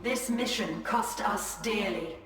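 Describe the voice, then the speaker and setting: A woman's voice: mid-range voice, takes it slowly, close to an everyday voice. A woman playing a proud, solemn alien seer and commander in a video game, speaking formally. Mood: regretful. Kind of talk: dramatic video game acting. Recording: long echo, distant, music behind.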